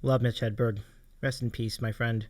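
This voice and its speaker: A man's voice: medium pitch, little pitch movement, normal speed, no echo. A man hosting a livestream, chatting casually with his guest and viewers. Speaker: livestream host.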